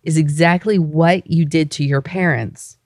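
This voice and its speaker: A woman co-hosting a casual podcast, hoarse from a cold and talking in a wry, teasing way. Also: low voice, steady tone, a little slow, a little mellow, dry recording, studio quality. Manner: wry and teasing, laughs often. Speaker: podcast co-host.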